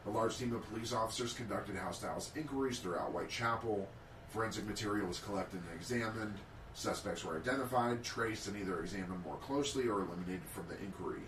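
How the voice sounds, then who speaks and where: A man's voice: fairly low pitch, fairly bright tone, distant mic, slight background noise. A man co-hosting a casual podcast, reading prepared history notes aloud with small slips. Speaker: podcast co-host. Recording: roomy, off-mic sound.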